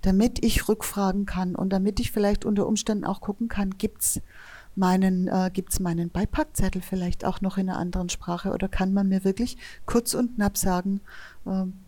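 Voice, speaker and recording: A woman's voice: mid-range voice, steady tone, moderate pace, warm tone. A woman giving thorough interview answers about health literacy, explaining with everyday examples.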